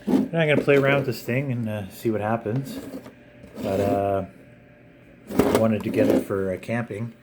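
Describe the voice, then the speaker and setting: A man hosting a casual, unscripted unboxing vlog, talking in a halting way with frequent pauses. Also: lowish voice, fairly even intonation. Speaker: video channel host. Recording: clear background noise.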